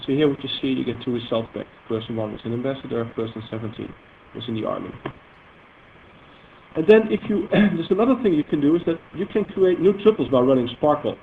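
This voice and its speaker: A man with a Dutch accent explaining database queries in a live demo, halting and full of fillers. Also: medium pitch, gravelly. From Netherlands. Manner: halting, filler-heavy, self-correcting explanation. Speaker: software presenter demonstrating database queries. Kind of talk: live technical demo talk. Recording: tinny phone-like audio with faint noise.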